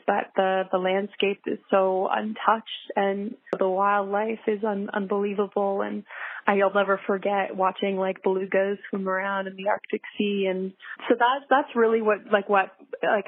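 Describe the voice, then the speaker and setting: A young adult woman talking casually as a podcast guest, chatty with many fillers and restarts. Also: medium pitch. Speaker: guest pilot. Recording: dry, tinny phone-like line.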